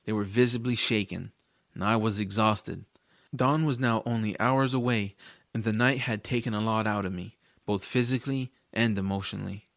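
A man's voice: on the low side, steady tone, normal speed, creaky, a bit bright, no room sound, studio quality. Man as an earnest young boy hero narrating. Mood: reflective. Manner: earnest, youthful phrasing. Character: earnest young boy hero, self-doubting but determined. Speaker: first-person narrator. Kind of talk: dramatic audiobook narration and dialogue.

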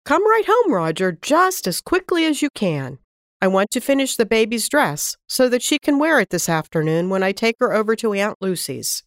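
A woman voicing a kind, busy young mother in a children's storybook, speaking gently and fondly. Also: on the high side, sing-song, normal speed, no echo, clean studio sound. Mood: gentle.

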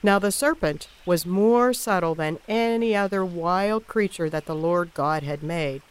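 A woman's voice: fairly low pitch, unhurried, clean tone, low-quality recording. A woman giving scripted narration of a Bible story as the narrator of a cast production.

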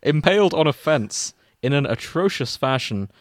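A man's voice: a little high, big pitch swings, moderate pace, dry recording. Man reading aloud, dry close sound. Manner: breaks into chuckles while reading. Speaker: host and reader of a fan fiction podcast. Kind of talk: casual banter and comic read-aloud narration.

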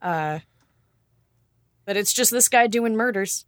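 Casting: female podcast co-host, unscripted and conversational, halting as she works out ideas, dry sound. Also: mid-range voice, no echo. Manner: halting, thinking aloud, colloquial. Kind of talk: casual unscripted podcast chat.

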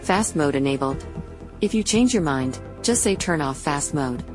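Low-voiced woman reading game prompts. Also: lively intonation, moderate pace, music in the background. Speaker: game system announcer.

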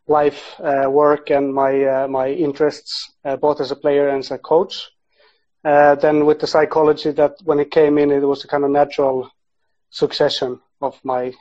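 Man from Finland, slightly high voice, casual interview guest, halting delivery, muffled lo-fi recording. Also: darkish tone. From born in Sweden, grew up in Finland, half Icelandic. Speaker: podcast guest, sports psychology researcher. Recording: dull, lo-fi audio.

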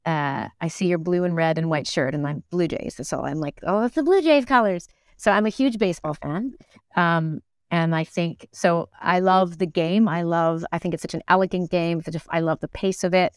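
A woman's voice: fairly low pitch, normal speed, clear, resonant tone, no room sound, clean studio sound. A middle-aged woman, an actor, chatting casually as an interview guest and thinking aloud as she goes.

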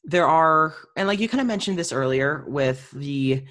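A woman's voice: deep voice, normal speed, a bit bright. A woman speaking casually and earnestly in an interview, explaining her thoughts. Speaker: interview participant, actor.

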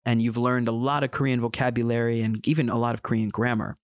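A man hosting a podcast, talking in a relaxed, thoughtful way and explaining with examples. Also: mid-range voice, fast, dry recording, clean studio sound. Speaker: podcast host. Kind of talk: casual podcast chat.

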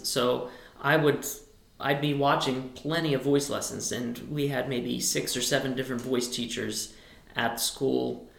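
A man with a high tenor speaking voice, a boys choir director, answering interview questions in a thoughtful, rambling way. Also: creaky, a bit bright, echoey room.

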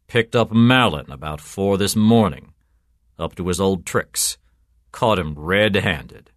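A man voicing a cynical, gruff police sergeant in a story, clipped and sardonic in his delivery. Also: low-pitched, slow pace, dry recording, studio quality. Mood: smug, mocking. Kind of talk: storytelling character voice.

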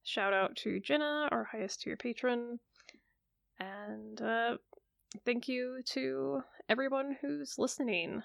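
A woman hosts a relaxed podcast, sounding chatty and quick to laugh. Her fairly high voice has a gravelly fry. The recording is dry and close. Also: dry recording. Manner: chatty, laughs often, uses filler words. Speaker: podcast host. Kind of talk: casual podcast chat.

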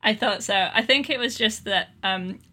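Bright-voiced woman chatting casually. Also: mid-range voice, normal speed. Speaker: podcast panelist. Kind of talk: casual comedic podcast chat.

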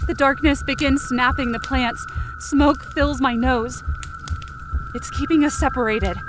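A woman's voice: sits high, fairly even intonation, unhurried, noisy background. A woman giving intense, theatrical first-person narration for a scripted fiction podcast, in short dramatic sentences. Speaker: writer and performer of fiction podcast.

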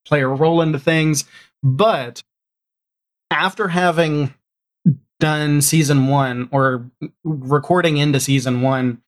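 A man chatting casually as an interview guest, telling stories in an animated way and acting out bits of conversation. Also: fairly high pitch. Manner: animated storyteller, acts out quoted exchanges. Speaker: guest, audio drama showrunner.

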